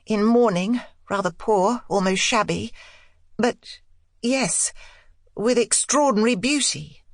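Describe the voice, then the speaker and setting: A woman's voice: mid-range voice, slow pace, no room sound, studio quality. A woman voicing an intense, determined young governess in an audiobook, speaking in emphatic, broken phrases with urgent insistence. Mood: insistent. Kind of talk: audiobook character dialogue.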